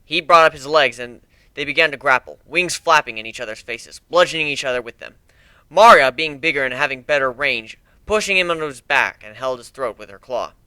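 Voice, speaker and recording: A man's voice: medium pitch, animated, fairly quick, sharp tone, clear, resonant tone, dry recording. A man reading a first-person fantasy story aloud as an amateur dramatic narrator.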